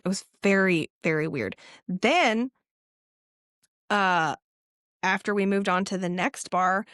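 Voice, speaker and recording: Young female co-host, lively casual storyteller, dry close-miked sound. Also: fairly low pitch, no echo. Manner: animated storytelling with laughs and acted quotes. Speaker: podcast co-host. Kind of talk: casual chat.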